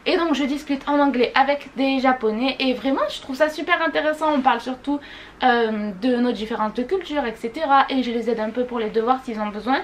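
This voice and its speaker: A woman's voice: high voice, fairly quick. A young woman vlogging a casual monthly recap, gushing and repeating words for emphasis. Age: young adult. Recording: faint background noise.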